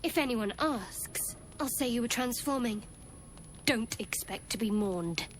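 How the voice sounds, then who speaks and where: A woman's voice: a little high, animated, unhurried, slight background noise. An actress playing a guarded, cutting young adventurer woman in a video game, with a dry, wry delivery. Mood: cold, threatening.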